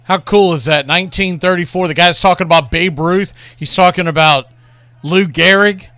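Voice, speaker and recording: A man's voice: sits high, moderate pace, sharp tone, clear, resonant tone, no room sound. A man hosting a radio show, talking casually and enthusiastically about classic baseball calls like a storyteller.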